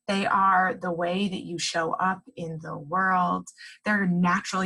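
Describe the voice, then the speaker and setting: A woman with a husky, somewhat breathy voice leads a workshop group. She speaks earnestly and encouragingly. Her voice sits at an ordinary middle pitch and often lifts with enthusiasm. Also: rough recording. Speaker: coach leading a workshop.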